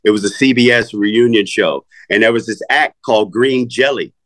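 Middle-aged man, low voice, relaxed interview chat, swears, lots of "you know" and "man". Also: moderate pace. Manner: laid-back, swears freely, many fillers like "you know" and "man". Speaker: rapper, interview guest. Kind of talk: casual interview talk.

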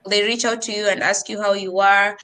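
Bright-voiced young Kenyan woman. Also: medium pitch, a little fast, rough recording. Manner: animated, mixing English and Swahili slang. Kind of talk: casual podcast chat.

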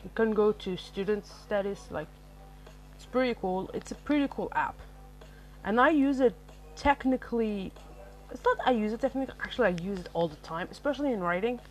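Woman podcast host, native Arabic speaker, middle-pitched voice, rambling solo talk with faint music under it. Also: animated, brisk, low-quality recording. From Algeria. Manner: rambling, self-correcting, lots of fillers.

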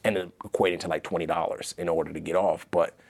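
A man's voice: low voice, steady tone, quick pace, creaky, darkish tone. A Nigerian-born man in his forties with an American accent, a guest telling his family's story in a casual interview and acting out other people's voices. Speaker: guest, author.

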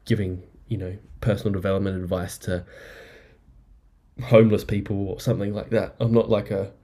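A man hosting a casual solo podcast, rambling and upbeat, a bit nasal and croaky from the flu, recorded on a laptop mic in an apartment. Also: on the low side, little pitch movement, brisk, warm tone. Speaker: podcast host. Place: apartment indoors. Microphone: laptop microphone.

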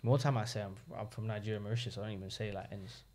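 A young man chats on a podcast as a guest. He tells his stories in an animated way, slipping into the voices of the people he quotes. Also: lowish voice, fast. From England. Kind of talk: casual podcast chat.

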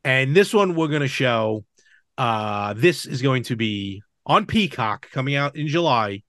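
A man's voice: mid-range voice, lively intonation, a little slow, a bit bright, no echo, studio quality. A man hosting a podcast, chatting casually about movies and games. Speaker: podcast host.

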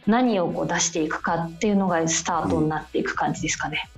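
A woman speaking as a radio interview guest, explaining her work politely with hesitant pauses. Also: on the low side, soft background music, poor audio. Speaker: guest, film publicist.

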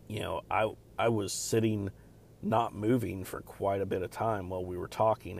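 A man hosting a podcast alone, talking in a loud, deep voice and rambling casually with many fillers and restarts. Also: creaky, a little hiss or hum. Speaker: podcast host. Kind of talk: casual solo podcast chat.

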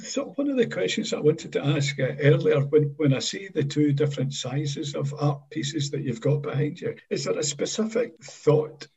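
A man hosting an interview, asking measured questions with some fillers. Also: a little high, lively intonation, gravelly, darkish tone, husky, poor audio. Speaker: interview host.

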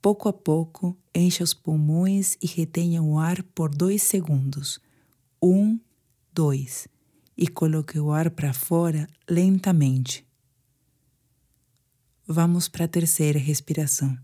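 Slow, low-voiced Brazilian woman guiding meditation. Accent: Brazilian Portuguese. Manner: slow, soothing, hushed delivery with pauses. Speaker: meditation guide. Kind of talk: guided sleep meditation narration.

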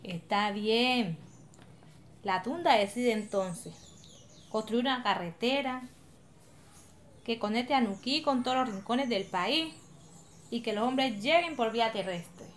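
A woman's voice: fairly high pitch, slow, pauses often. A woman with a Colombian accent acting an encouraging, patient village leader in an educational radio drama, explaining things colloquially. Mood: questioning, explaining. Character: encouraging, patient woman leading her coastal village community.

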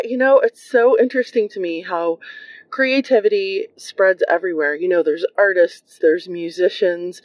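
A woman's voice: medium pitch, dry recording. Enthusiastic woman on a dry recording. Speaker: podcast caller. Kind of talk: casual voice message. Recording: dry, dull lo-fi voice message.

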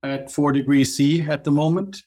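A middle-aged man from Norway with a Norwegian accent, a company cofounder chatting as an interview guest, often restarting and filling pauses with "uh". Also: a little high, steady tone, warm tone. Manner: halting, many restarts and fillers. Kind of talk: casual interview chat.

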